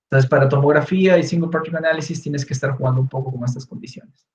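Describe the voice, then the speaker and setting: A young man with a Peruvian Spanish accent, relaxed and explanatory, answering questions in an online talk with many fillers and restarts. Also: fairly high pitch, fast. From Peru. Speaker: guest speaker, researcher. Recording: echoey room, dull lo-fi audio.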